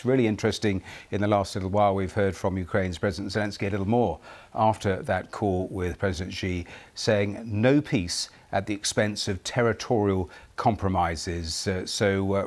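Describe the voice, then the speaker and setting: A man presenting live broadcast news as the anchor. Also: fairly low pitch.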